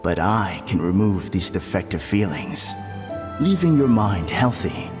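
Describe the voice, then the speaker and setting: An actor playing a calm, self-assured male counselor in a game who speaks in a measured, soothing way. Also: deep voice. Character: calm male counselor who erases troubles, smooth and all-knowing. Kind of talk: game voice acting. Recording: long reverb on the voice. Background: clear game music.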